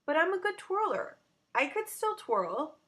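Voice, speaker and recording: A woman's voice: very high-pitched, very animated, unhurried, fairly bright tone, pitched above the performer's own voice, exaggerated voice. A woman reading the part of an earnest, easily hurt young schoolgirl in a children's story, plain-spoken. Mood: pleading.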